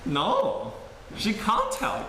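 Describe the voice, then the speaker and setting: An actor playing a blunt, teasing performer who speaks with dry deadpan delivery. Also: heavily acted. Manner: deadpan, blunt, slow and lively in pitch. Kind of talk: scripted film dialogue. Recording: very echoey room with clear noise.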